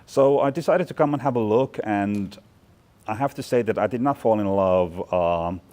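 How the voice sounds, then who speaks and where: A man's voice: fairly low pitch, normal speed, dark tone. A man in his forties from Finland, talking through thoughtful, chatty interview answers with plenty of fillers and restarts. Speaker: guest, fashion department chair. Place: large bright studio loft indoors.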